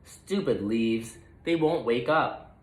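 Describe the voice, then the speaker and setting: A man's voice: mid-range voice, expressive, takes it slowly. A man reading a storybook puts on a big bossy capybara girl, a dim bully. The delivery is blustering and emphatic. The sound is roomy and echoing. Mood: huffy, smug. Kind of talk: children's storybook read-aloud.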